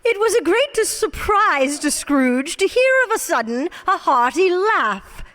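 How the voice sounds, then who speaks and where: A woman narrates a radio drama in a high voice. She tells the story in a theatrical, wry way. The sound has a long hall reverb. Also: sing-song, unhurried, a bit bright.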